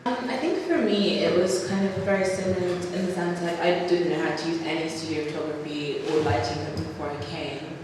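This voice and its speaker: A woman's voice: lowish voice, steady tone, brisk, hardly any pauses. Young woman trainee on a panel, says "kind of" a lot, far from the mic, big echoey room, some noise. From Nigeria. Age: 20s. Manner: thoughtful, many fillers like "kind of". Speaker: photography trainee. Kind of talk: panel discussion answers.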